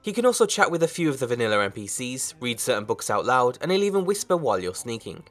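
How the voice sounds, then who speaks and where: A man's voice: mid-range voice, big pitch swings, fast talker, fairly bright tone. A man hosting a game mod review, reading scripted narration in an orderly presenter's manner. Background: music.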